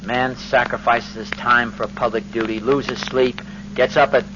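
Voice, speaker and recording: An actor playing a flustered, self-important suburban husband in 1940s radio comedy style, blustering and stammering. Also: male voice, mid-range voice, level tone, a little slow, close to an everyday voice. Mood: aggrieved grumbling. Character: indignant, self-important suburban husband, bumbling and easily ruffled. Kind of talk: 1940s radio sitcom acting. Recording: muffled, noisy old radio recording.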